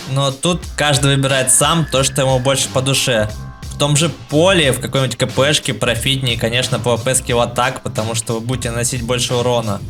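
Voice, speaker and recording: A man's voice: medium pitch, a bit bright. A man narrating a casual gaming tutorial in an informal, slangy, explanatory way, with a speech impediment on the L sound. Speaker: video game guide creator. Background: background music.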